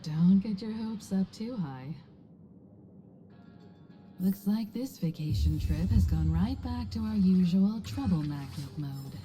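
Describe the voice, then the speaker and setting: A woman voicing a calm, wry, worldly traveller in a video game, composed and unhurried. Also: lowish voice. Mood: calm, assured. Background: soft game music.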